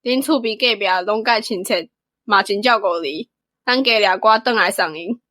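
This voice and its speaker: Bright, high-pitched caring young villager. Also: male voice, moderate pace, dry recording. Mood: friendly, eager. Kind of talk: radio drama dialogue in Taiwanese Hokkien.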